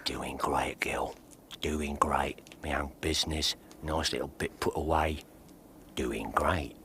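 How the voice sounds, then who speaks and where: An actor playing a cheeky, flirtatious working-class London plumber with a Cockney accent, bragging and bantering in a radio drama. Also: male voice, rumbling low, steady tone, takes it slowly, vocal fry, bright, forceful tone, slight background noise, heavily acted. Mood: low, seductive. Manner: chatty, bragging, matey banter. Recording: pub crowd chatter behind the voice.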